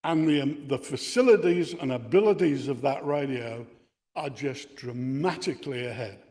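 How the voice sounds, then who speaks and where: A man's voice: medium pitch, moderate pace, a little mellow. An older man with an Australian accent giving a technical lecture to radio enthusiasts. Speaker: amateur radio club speaker. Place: indoor meeting room with equipment on a bench. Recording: reverberant hall or meeting room.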